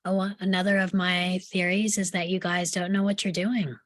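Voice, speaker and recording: A woman's voice: fairly low pitch, flat delivery, moderate pace, natural voice. A woman playing a defiant, sardonic young psychiatric patient in a screenplay table read, with a guarded, dry, challenging delivery.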